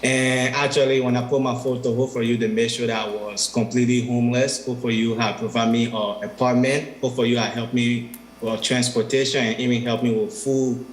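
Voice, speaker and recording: A man's voice: mid-range voice, level tone, brisk, reverberant, a little hiss or hum, poor audio. A young man from Liberia giving simple, heartfelt personal testimony of thanks. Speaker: youth testifier.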